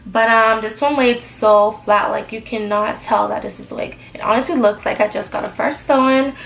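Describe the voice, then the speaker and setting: A woman's voice: a little high, a bit bright, slight background noise, poor audio. A young woman with a stuffed-up nose from a cold, chatting casually as she reviews a wig and filling her talk with "like". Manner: chatty, filler-heavy, lots of "like". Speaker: hair vlogger.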